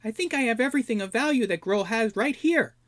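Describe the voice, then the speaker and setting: A man voicing a whiny male goblin in a high, squeaky, whining tone. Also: expressive, fast, fairly bright tone, no room sound.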